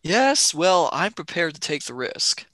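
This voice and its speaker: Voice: medium pitch, very animated, unhurried, fairly bright tone, dry recording. A performer playing a cheeky, impatient young male adventurer in a radio play, breezy and argumentative. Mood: teasing. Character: cheeky, impatient young man, time-travelling companion.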